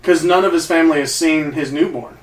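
A man tells a long story among friends, full of fillers and restarts. His voice sits a little high. It is picked up from across a small echoey room. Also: brisk, distant mic. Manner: rambling storyteller, many fillers. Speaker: co-host. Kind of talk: casual chat. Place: small home den, indoors. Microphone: far camera mic.

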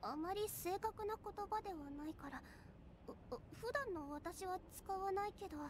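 A woman voicing a shy, earnest young female game alchemist, soft and girlish, stammering and precise in her wording. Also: extremely high pitch, moderate pace, slight background noise. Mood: awed, flustered. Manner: hesitant stammering, formal and precise. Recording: game music behind the voice. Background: game music.

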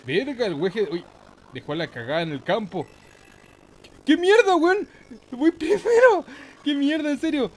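A man's voice: extremely high pitch, big pitch swings, measured pace, long pauses, rough recording. A man giving casual, slangy, mock-boastful gaming commentary with some swearing. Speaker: gameplay host.

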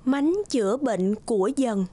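A woman's voice: fairly high pitch, unhurried, warm tone. A woman narrator giving scripted storytelling narration for a children's folktale cartoon.

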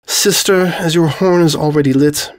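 A man's voice: on the high side, fairly even intonation, normal speed, pitched above the performer's own voice, lightly acted. Man voicing a haughty, sarcastic pony princess. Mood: stern. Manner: formal, stern, sarcastic.

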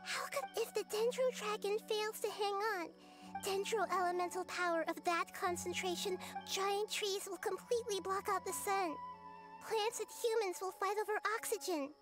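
Game role: tiny wise goddess girl, very high, gentle, formal and caring, faint music behind. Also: fairly even intonation, moderate pace. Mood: alarmed. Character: small gentle wise child-like deity girl, caring and worried. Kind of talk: scripted video game dialogue.

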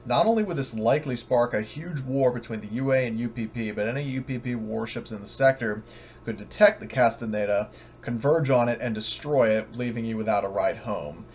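A man narrating an online tabletop roleplaying game as game master, sometimes halting, over voice chat. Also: medium pitch, darkish tone, a little hiss or hum.

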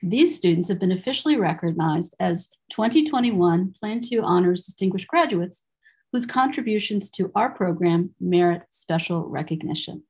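An older woman delivering a formal, prepared commencement address in measured, deliberate phrases, speaking as the presiding academic on a video call. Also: fairly low pitch, lively intonation, a little mellow, slightly rough. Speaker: university program director presiding over a ceremony.

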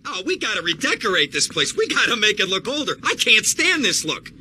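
An actor playing a neurotic, jittery lawyer in a video game, fussy, whiny and nervous, with a raspy voice. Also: male voice, high voice, big pitch swings, a little fast, pushed and bright, full character voice. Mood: agitated.

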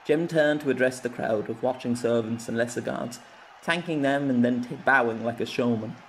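A man's voice: mid-range voice, moderate pace, a little hiss or hum. A man reading a scripted fantasy story aloud as an audiobook narrator.

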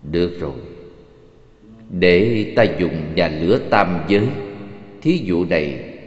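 A man voicing a serene, solemn Buddha who teaches his disciples in measured, formal phrases in an animated film. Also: low-pitched. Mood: teaching, calm. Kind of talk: dubbed animated religious film dialogue. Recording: echoey, reverberant, slightly dull dub track.

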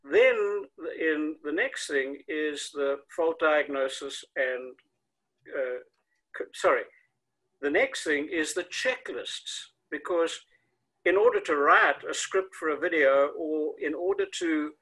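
A man's voice: on the high side, slow, a bit bright, poor audio. An older man with a South African accent, explaining things conversationally as a consultant on a video call. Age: older, 60s or 70s. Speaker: consultant and coach, interview guest. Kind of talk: conversational explaining in an online interview. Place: home room with windows, indoors. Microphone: earbuds on a video call.

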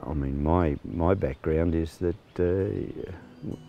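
A man in his sixties with an Australian accent, a farm manager talking plainly outdoors, with many pauses and fillers. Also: sits low, gravelly, muffled recording, a little hiss or hum. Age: 60s. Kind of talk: on-location interview. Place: outdoors on a rural farm paddock.

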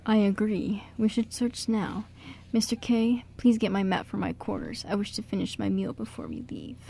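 A woman's voice: medium pitch, steady tone, normal speed, creaky, mellow, slightly rough. Woman playing a jaunty, theatrical stage director. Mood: resolute. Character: genial, theatrical male stage director, playfully grand. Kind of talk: amateur radio drama acting.

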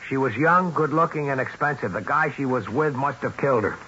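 An actor playing a defiant, sardonic police detective with insistent questioning, in 1970s radio drama style. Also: male voice, medium pitch, brisk, bright, forceful tone, close to an everyday voice. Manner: insistent, wry, clipped questioning. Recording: dull, noisy old radio broadcast.

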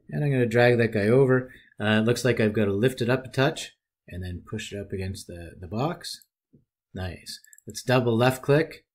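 Low-voiced man narrating a software walkthrough. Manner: relaxed, folksy narration of each click. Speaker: software tutorial presenter. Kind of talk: screen-recorded software walkthrough.

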